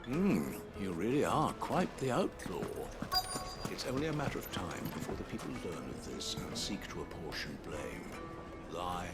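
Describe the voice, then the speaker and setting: A sly counsellor in a fantasy game. The voice is very low and creaky, swooping widely in pitch, under loud music. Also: male voice, big pitch swings, normal speed. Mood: wry warning. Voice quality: deep, creaky. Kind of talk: video game voice acting.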